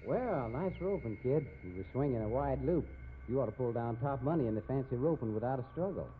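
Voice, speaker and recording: A muffled, hissy old radio recording carries a swaggering American rodeo cowboy played by a male actor. He is a jealous, scheming husband who talks in folksy Western slang, his middle-pitched voice swinging widely as he bickers. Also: expressive, brisk, natural voice. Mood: sardonic. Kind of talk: 1950s radio drama acting.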